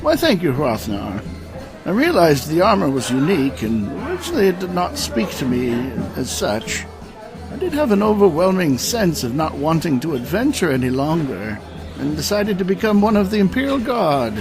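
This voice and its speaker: A man's voice: a little high, wildly swooping pitch, normal speed, music in the background, poor audio. A man playing an ancient, courteous knight who tells long tales in a formal, old-fashioned way in a fantasy audio drama. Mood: reminiscing.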